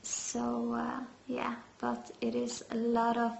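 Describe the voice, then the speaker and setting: A woman vocalist answering interview questions, halting with many fillers. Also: fairly high pitch, completely flat delivery, gravelly, darkish tone. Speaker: metal band vocalist. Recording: roomy, dull lo-fi sound.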